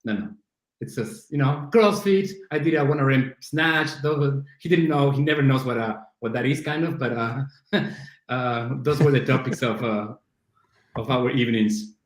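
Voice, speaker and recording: A man's voice: medium pitch, normal speed. A middle-aged man from Argentina with an Argentine Spanish accent, a native Spanish speaker chatting as a podcast guest, self-deprecating and full of restarts. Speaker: guest, CrossFit coach. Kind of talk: casual interview chat. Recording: roomy echo.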